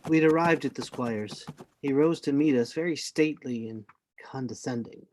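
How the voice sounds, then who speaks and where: A man's voice: medium pitch, moderate pace, darkish tone, close to an everyday voice, rough recording. A man playing a grown adventurer who narrates his own story in scripted first person for a radio play. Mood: storytelling. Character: grown Jim Hawkins recounting his adventure.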